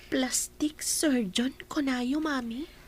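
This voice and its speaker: A woman's voice: high-pitched, steady tone, slow, a little hiss or hum. A young woman acting an insecure daughter in an Ilocano radio comedy drama. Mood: hesitant, worried. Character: insecure young daughter anxious to fix her looks.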